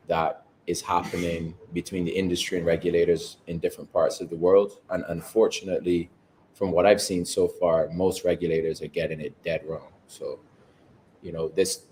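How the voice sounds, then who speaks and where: Deep voiced guy from barbados explaining stuff calmly, bit of rumble in the background. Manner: measured, articulate explanations. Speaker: guest, company co-founder. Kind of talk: interview answers. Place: home overlooking the ocean.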